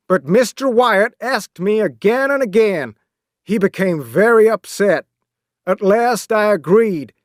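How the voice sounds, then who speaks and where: Stern ship captain with a high, strained bellow, voiced by a man. Storybook reading. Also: no echo, studio quality. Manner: bellowing commands, then plain explaining. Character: stern male ship captain, shouting orders.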